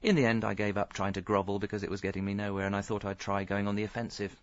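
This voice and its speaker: A man's voice: on the low side, little pitch movement, quick pace, no echo, highs cut off, close to an everyday voice. An actor with a British accent playing a hapless, pleading husband and father who narrates his own mishaps in a radio comedy drama, stammering and earnest. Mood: wry narration.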